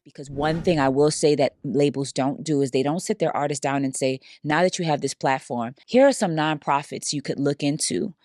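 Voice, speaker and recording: Animated woman guest from Louisiana. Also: sits low, moderate pace, hardly any pauses, no echo. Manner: animated, emphatic storytelling. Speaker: musician guest. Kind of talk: casual interview conversation.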